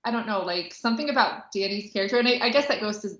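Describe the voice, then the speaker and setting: A woman with a bright, forward voice chats in a casual podcast style. She is informal and a bit hesitant, circling toward her point. The recording sounds roomy and echoey. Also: medium pitch, very fast. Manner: informal, hesitant, heavy use of "like". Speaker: podcast co-host reviewing a film. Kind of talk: casual conversational discussion. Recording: roomy, echoey, slightly dull lo-fi audio.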